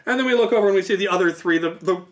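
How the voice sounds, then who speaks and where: A man telling a casual, animated story about a tabletop game, as a host who acts out quoted lines. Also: sits high, racing.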